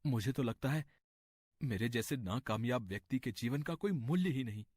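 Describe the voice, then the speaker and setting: Earnest, troubled village seeker for a story, male performer; slightly rough voice, lively intonation. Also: mid-range voice, moderate pace, no echo, studio quality, lightly acted. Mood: despondent. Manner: hesitant, earnest, polite. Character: troubled, humble, polite village man seeking life's worth. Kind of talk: dramatized Hindi moral story. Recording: faint music behind the voice.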